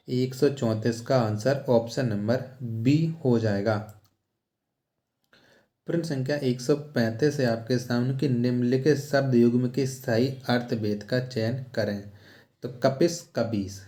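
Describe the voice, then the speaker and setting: A man's voice: mid-range voice, warm tone. A man teaching an exam-prep lesson, posing questions and repeating each answer for emphasis. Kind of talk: instructional question-and-answer walkthrough. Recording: roomy, echoing sound.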